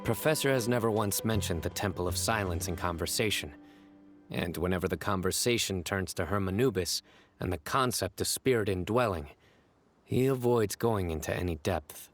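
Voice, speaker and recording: A serious, reserved young lawman from a video game, acted by a man. He has a low voice and a measured, formal, deadpan delivery. Music plays clearly behind him. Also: natural voice. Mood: guarded. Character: stern, reserved young male desert lawman, serious and weary.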